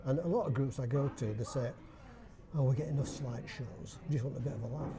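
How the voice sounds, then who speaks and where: A man's voice: medium pitch, fast, background noise. An older man from Bradford with a Yorkshire accent, chatting and explaining in an interview. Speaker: dialect society chairman, guest. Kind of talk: casual interview.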